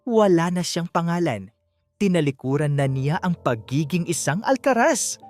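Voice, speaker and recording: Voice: medium pitch, animated, normal speed, a little mellow, soft background music. A narrator reading a scripted love story in a dramatic audiobook style.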